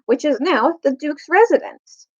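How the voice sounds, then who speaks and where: A woman voicing a haughty, forceful duke in a children's storybook, imperious and giving orders. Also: high voice, lively intonation, a little slow, dull audio, voice pushed up. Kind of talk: children's storybook read-aloud.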